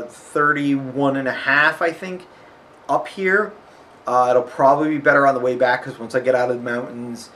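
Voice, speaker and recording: A man's voice: medium pitch, a bit bright, a little hiss or hum. A man with a sniffly nose, chatting casually and rambling about a road trip, full of fillers. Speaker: vlogger. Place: hotel.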